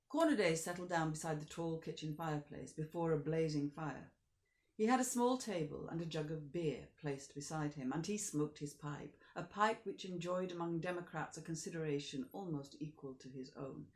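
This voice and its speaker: A woman actor reading a classic short story aloud in measured narration, often sinking to a soft near-whisper. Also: deep voice, reverberant. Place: home during lockdown.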